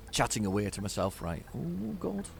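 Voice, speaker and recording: Hoarse man rambling over gameplay. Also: mid-range voice, background noise. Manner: rambling, stammering repeats, chatting to himself. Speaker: gaming YouTuber. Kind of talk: casual gameplay commentary.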